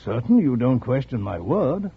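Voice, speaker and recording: An actor playing a sly, smug blackmailing doctor with theatrical mock courtesy, in old-time American radio drama style. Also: male voice, medium pitch, sing-song, moderate pace, creaky, husky, heavily acted. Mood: needling, threatening. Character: smug, sly male blackmailing doctor who collects manuscripts. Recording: muffled, noisy old radio recording.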